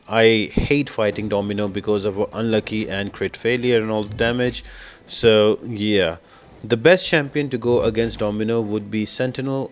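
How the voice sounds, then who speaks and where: A man's voice: fairly low pitch, slight background noise. A man giving a casual game guide commentary, explaining things step by step as the host. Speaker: gaming video host.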